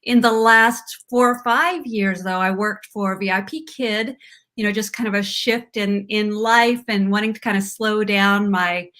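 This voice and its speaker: A woman's voice: medium pitch, unhurried, bright, forceful tone. A middle-aged woman, a former teacher, chatting casually as a guest on a live video call, telling her story with many fillers. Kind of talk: casual interview chat. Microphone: video call mic.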